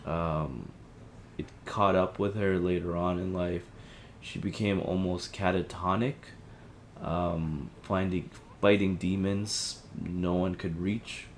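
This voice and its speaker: A man playing an apologetic, evasive helpline listener in an audio drama, speaking in a hesitant, halting, conciliatory way. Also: low-pitched, fairly even intonation, a little hiss or hum. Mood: weary, guarded. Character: Theo, apologetic, evasive male helpline listener with a troubled marriage. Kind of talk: audio drama, naturalistic phone conversation.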